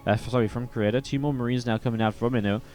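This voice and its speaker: A man casting a live strategy game match on his own, in a mid-range voice. He sounds a little sniffly from a cold and keeps up a steady stream of play by play. Faint game music runs under him. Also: mid-range voice, racing. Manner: steady, builds excitement in fights. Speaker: esports caster. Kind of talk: solo play-by-play commentary.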